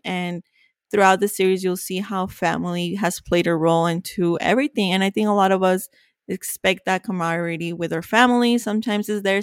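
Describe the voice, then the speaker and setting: Kobieta z Meksyku o suchym brzmieniu. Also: female voice, on the low side, clear, resonant tone, dry recording, clean studio sound. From Mexico. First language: Spanish. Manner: warm, chatty, self-joking. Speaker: podcast co-host. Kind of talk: casual podcast chat.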